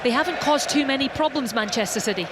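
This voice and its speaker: A woman doing live football play-by-play commentary, steady and descriptive. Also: high-pitched, fast talker. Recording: stadium crowd and noise behind.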